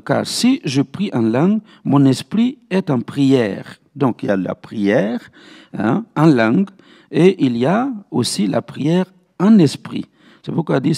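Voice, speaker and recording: A man's voice: mid-range voice, creaky, dark tone. A man speaking as a pastor, teaching a Bible study in a didactic way and repeating key phrases for emphasis. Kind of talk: Bible teaching with verse citations.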